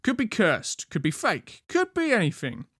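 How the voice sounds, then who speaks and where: A man voicing a gruff, stubborn fantasy city guard in an audiobook, blunt and repetitive. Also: high-pitched, huge pitch swings, normal speed, a bit bright, dry recording, clean studio sound, voice pushed up, exaggerated voice. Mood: stubborn, dismissive.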